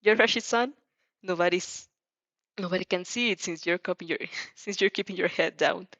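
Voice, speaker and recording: A woman voicing a mellow but stubborn, mature-minded little girl in a story, speaking hesitantly. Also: fairly low pitch, normal speed, no echo, highs cut off. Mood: hesitant, polite. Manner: hesitant, mumbling.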